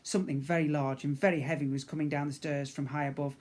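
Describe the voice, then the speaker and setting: A man's voice: fairly high pitch, fairly even intonation, fast, darkish tone. Man reading a storybook expressively. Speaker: teacher reading to young children.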